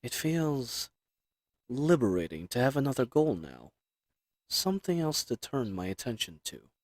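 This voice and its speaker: A man playing a cool, confident, flirtatious assassin in a roleplay audio drama, with a smug, teasing, measured delivery. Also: mid-range voice, sing-song, dry recording. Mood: wistful, tender.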